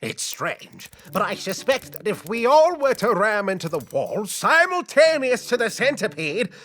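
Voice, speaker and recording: Pompous, high-pitched gentleman bug. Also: very animated, measured pace, full character voice. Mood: excited, commanding. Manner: pompous, florid, declaiming. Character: fussy, theatrical gentleman bug, grandly bossy leader. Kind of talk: audio drama cartoon acting.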